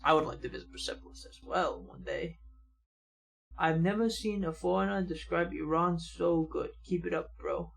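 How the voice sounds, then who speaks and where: A young man hosting a reaction channel, casually reading viewer comments aloud and adding quick remarks of his own. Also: a little high, gravelly, poor audio. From United States.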